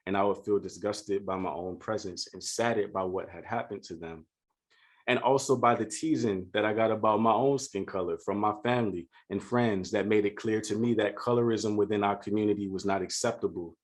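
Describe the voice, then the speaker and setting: A man from Louisiana giving a prepared keynote speech for a virtual conference, speaking in an earnest, measured and deliberate way. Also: lowish voice. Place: home setup for a virtual conference.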